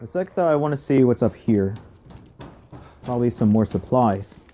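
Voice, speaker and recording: A man's voice: mid-range voice, animated, moderate pace, mellow. A man casually commenting on a horror game as he plays it, thinking aloud in murmured asides. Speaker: gaming channel host. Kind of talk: casual let's play commentary.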